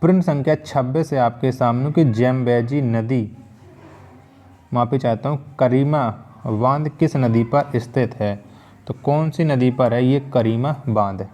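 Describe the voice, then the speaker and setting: A man's voice: mid-range voice, measured pace, a little mellow. Methodical male exam-prep teacher. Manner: methodical, repeats each question before answering. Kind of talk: quiz-style lesson, reading questions then answers.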